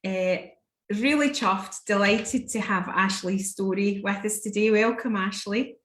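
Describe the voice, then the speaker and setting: A woman hosts a live online interview and encourages her guest with short affirmations. Her voice is mid-pitched. It comes through a roomy, echoing video call. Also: unhurried, a bit bright. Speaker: host.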